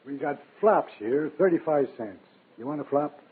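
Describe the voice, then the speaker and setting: An actor playing a wary, grumbling flophouse clerk in a 1950s radio drama, rough-voiced and talking in uneducated street slang. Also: male voice, a little high, lively intonation, moderate pace. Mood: suspicious. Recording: narrow, lo-fi old radio recording.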